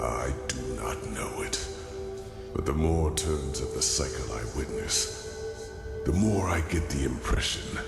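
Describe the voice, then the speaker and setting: A man playing an ancient, weary sage in a fantasy game, speaking gravely and deliberately in a gravelly voice. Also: very deep voice, full character voice. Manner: grave, slow, deliberate, brooding. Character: ancient weary male sage revealing bleak cosmic truths. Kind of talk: solemn dramatic game monologue. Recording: echoey voice over clear background music.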